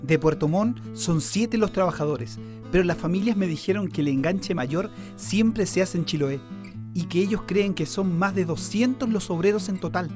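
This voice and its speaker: An actor with a Chilean accent playing an earnest, deferential newspaper reporter who explains things respectfully in a radio drama. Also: male voice, sits high, moderate pace, over music, natural voice. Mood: concerned, explanatory. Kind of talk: Spanish-language radio drama acting.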